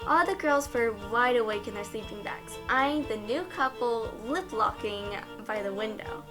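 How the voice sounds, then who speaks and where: Young female narrator, higher voice, expressive fan fiction storytelling with clear music underneath. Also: normal speed. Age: young adult. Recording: music behind the voice.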